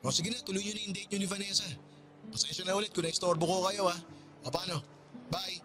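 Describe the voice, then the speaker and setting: A man's voice: high-pitched, steady tone, quick pace, noisy background, natural voice. A man playing a friendly, apologetic and generous buddy, with a Filipino accent, in radio drama style. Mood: friendly, inviting.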